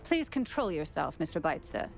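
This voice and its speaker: A woman's voice: low voice, big pitch swings, moderate pace, vocal fry, exaggerated voice. An actress playing an authoritative female official presiding over a hearing in a video game, formal and firm. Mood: stern. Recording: music behind the voice.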